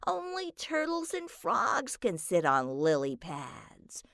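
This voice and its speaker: A woman's voice: fairly low pitch, huge pitch swings, takes it slowly, creaky, fairly bright tone, no echo, clean studio sound, exaggerated voice. A woman doing Ned, a prim bookish turtle. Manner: polite, fussy, muttering.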